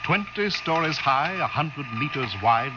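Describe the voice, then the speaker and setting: An actor playing an obsequious, flustered palace servant who stammers deferentially, in vintage radio drama style. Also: male voice, on the high side, animated, unhurried. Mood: eagerly deferential. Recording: very noisy, muffled vintage radio recording.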